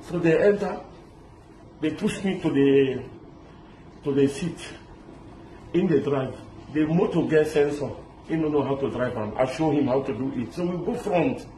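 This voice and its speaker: Middle-aged man, echoey, street noise. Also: on the high side. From Lebanon. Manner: excited, halting, many restarts. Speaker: interviewee recounting a kidnapping. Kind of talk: animated storytelling, mixing in pidgin words. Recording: echoey with loud street ambience.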